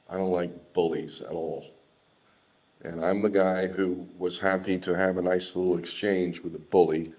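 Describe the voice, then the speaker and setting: An American man from Southern California giving an unscripted personal testimony, speaking in a halting, reflective way with repeated words. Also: low voice, darkish tone, dull audio.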